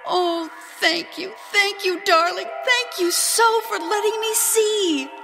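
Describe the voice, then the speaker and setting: A woman playing a dreamy, tender wife and mother in an audio drama, speaking in halting, breathless exclamations as if seeing visions. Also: very high voice, gravelly, full character voice. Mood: rapturous awe. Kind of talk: emotive audio drama acting. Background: loud music.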